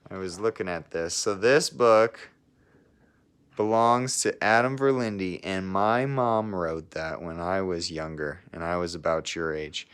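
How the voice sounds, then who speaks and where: A man reading a children's storybook aloud like a teacher, in measured narration with casual asides. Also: low-pitched, fairly bright tone, clean tone.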